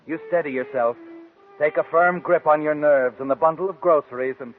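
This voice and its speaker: A man's voice: fairly high pitch, natural voice. An actor playing a sinister, all-knowing male narrator in a 1940s radio mystery, with an ominous, knowing delivery. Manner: ominous, knowing, deliberate phrasing. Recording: muffled vintage 1940s radio recording. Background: dramatic music.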